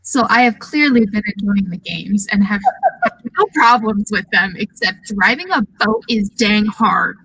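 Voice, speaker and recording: Bright, forceful woman chatting about games. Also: mid-range voice, lively intonation, a little slow, gravelly, breathy edge, poor audio. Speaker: guest on a gaming podcast. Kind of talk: casual chat.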